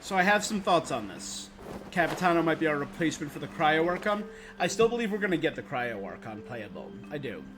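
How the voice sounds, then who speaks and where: A man's voice: a little high, sing-song, brisk. A man streaming a game, chatting casually and thinking aloud in a rambling, opinionated way. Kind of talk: casual livestream gaming chat. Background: game music.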